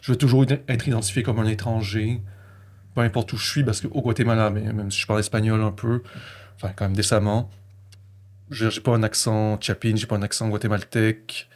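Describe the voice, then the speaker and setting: A man's voice: fairly low pitch, level tone, brisk, darkish tone. A man with a Québécois accent reflecting personally in conversation, feeling his way through sentences and often correcting himself. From Quebec, Canada.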